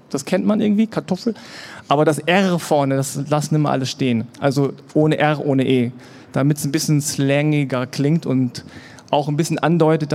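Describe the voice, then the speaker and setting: A man from Germany speaking in a fairly high register at a live panel event. He talks in a loose, easygoing way, slipping into little voices when he quotes other people. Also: darkish tone. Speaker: podcast guest. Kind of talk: casual panel conversation.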